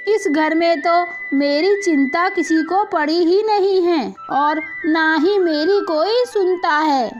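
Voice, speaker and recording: A woman playing a fretful, doting village mother in an animated moral story. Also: very high-pitched. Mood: fretful, complaining. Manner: complaining, fussing. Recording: muffled lo-fi audio with music.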